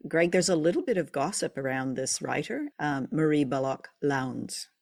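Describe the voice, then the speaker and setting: A woman's voice: low-pitched, lively intonation, normal speed, vocal fry, a little mellow, no room sound, clean studio sound. A woman co-hosting a show, chatting informally and telling stories about old radio drama. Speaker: podcast co-host.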